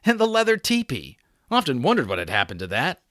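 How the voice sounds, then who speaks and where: A breezy teenage older brother, as a male narrator puts him on asks about things with idle, offhand curiosity. His voice rides higher than the narrator's own and sounds close and dry. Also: huge pitch swings, fast, fairly bright tone, dry recording. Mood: curious.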